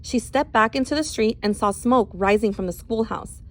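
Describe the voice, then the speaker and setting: A woman reading a novel aloud as an expressive storyteller. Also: a little high, moderate pace.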